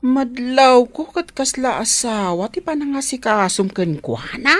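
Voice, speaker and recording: Nosy teasing matchmaker lady, ilocano accent, highish voice swinging all over, radio drama. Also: lively intonation, a little slow, no echo. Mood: teasing, probing. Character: nosy, teasing household woman, frank and matchmaking.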